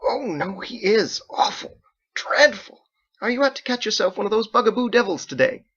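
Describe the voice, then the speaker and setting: A man doing a gushing, high-voiced old mother. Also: lively intonation, normal speed, fairly bright tone, slightly rough, dull audio, exaggerated voice. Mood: blithely scornful. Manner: gushing, sing-song as if to a child. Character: gushing, blunt seventy-year-old mother, hearty and chatty.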